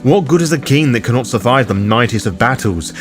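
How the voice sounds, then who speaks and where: Male guide narrator, mid-pitched, formal and dramatic with a cheeky streak, background music underneath. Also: animated, a little fast. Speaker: presenter of a roleplaying guide. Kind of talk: scripted narration, dramatic explainer.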